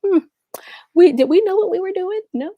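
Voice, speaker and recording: A woman's voice: very high voice, animated, moderate pace, warm tone, no echo. A woman guest speaking casually and enthusiastically about her creative work, explaining things with everyday examples. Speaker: guest, audio drama writer and producer.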